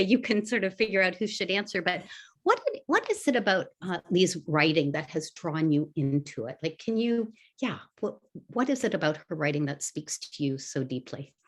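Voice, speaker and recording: A woman moderating an online panel, asking questions in an informal, tentative way. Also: mid-range voice.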